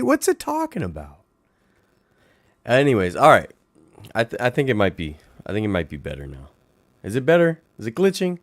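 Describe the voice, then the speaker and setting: A young man with a raspy voice, hosting a casual late-night livestream from home and chatting playfully with viewers. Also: medium pitch, no echo. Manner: animated, playful, talks to chat viewers. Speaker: livestream host.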